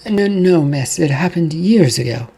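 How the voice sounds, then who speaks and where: A man's voice: on the high side, animated, unhurried, creaky, warm tone, breathy edge, pitched above the performer's own voice, heavily acted. A man playing a firm demon hunter. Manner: firm, matter-of-fact.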